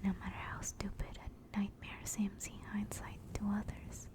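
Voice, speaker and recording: A woman playing a gentle, affectionate sleep paralysis demon in a soothing, reassuring whispered ASMR comfort roleplay. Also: medium pitch, monotone, close to an everyday voice. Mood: tender, reassuring. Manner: soft, slow, soothing, reassuring.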